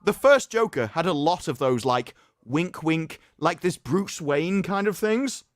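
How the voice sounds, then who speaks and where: A male livestream host delivers a mock-solemn dramatic reading and breaks into sarcastic commentary. His voice swings widely in pitch and is theatrical throughout. It is recorded close and very dry. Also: expressive, a little slow, dry recording.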